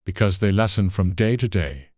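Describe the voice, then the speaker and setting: A storybook narrator voicing a gruff, bossy male griffin who scolds bluntly and impatiently. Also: low-pitched, animated, normal speed, darkish tone, dry recording, studio quality, voice pushed down. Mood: matter-of-fact.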